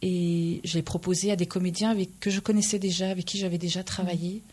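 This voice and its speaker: Earnest woman recounting, low voice. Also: level tone, a little mellow. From Belgium. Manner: earnest, measured, recounting with frequent pauses and "voilà". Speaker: playwright and stage director. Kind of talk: interview answers.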